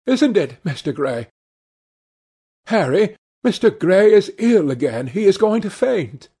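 A man's voice: sits high, big pitch swings, unhurried, warm tone, slightly rough, voice pushed up, heavily acted. A man voicing a witty, coquettish aristocratic duchess in an audiobook, in an arch manner. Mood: shocked, reproachful.

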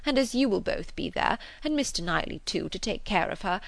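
A woman voicing a fretful elderly gentleman, an anxious invalid father who fusses and pleads gently, in an audiobook. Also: medium pitch, expressive, fairly quick, vocal fry, no room sound.

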